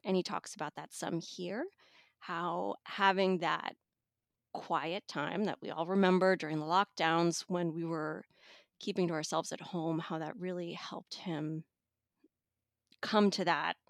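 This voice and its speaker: A woman giving a conversational book review as a guest, thoughtful and pausing to find her words. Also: lowish voice, fairly even intonation, creaky, no room sound, clean studio sound. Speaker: magazine editor reviewing an audiobook as guest.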